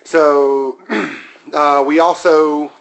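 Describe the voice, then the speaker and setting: A man hosting a podcast in profane casual chat, with a loud, powerful voice and crude, swearing humor. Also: fairly high pitch, fairly even intonation, drawn-out words, vocal fry. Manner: crude, swearing, laughs at own stories. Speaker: podcast host.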